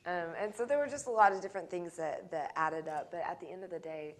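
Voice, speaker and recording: A woman musician in an interview, speaking earnestly and reflectively with many ums and pauses. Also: fairly low pitch.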